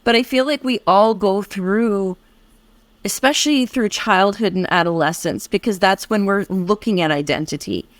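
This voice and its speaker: An American woman co-hosting a podcast, reflecting at length in a warm, affirming conversational way with many restarts. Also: mid-range voice, moderate pace, dry recording. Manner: reflective, affirming, speaks in long winding sentences with restarts. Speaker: podcast co-host.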